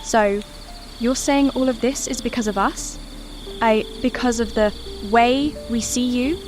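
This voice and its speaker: Brave, excitable young girl played by a woman, higher pitch, deliberate pacing, music behind, storybook drama. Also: lightly acted. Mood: puzzled. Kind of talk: dramatized audiobook acting.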